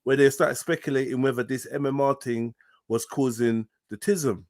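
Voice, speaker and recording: A man's voice: medium pitch, fairly even intonation, normal speed, darkish tone, no room sound, clean studio sound. A middle-aged man hosting a live panel stream, slangy and rambling, laughing often. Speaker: host. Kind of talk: casual panel chat.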